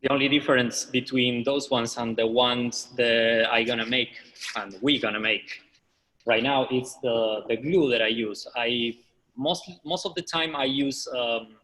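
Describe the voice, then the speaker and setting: Argentine man, halting English, bright echoey voice. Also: mid-range voice, fairly even intonation. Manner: halting non-native English, frequent fillers and restarts. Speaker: artist leading a workshop. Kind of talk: live online demonstration.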